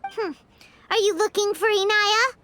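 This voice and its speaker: A woman voicing a small, chirpy female fairy companion in a game, chatty and expressive, with a high squeaky voice. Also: measured pace, a little hiss or hum, full character voice. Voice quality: high squeaky voice, speaks of herself in third person.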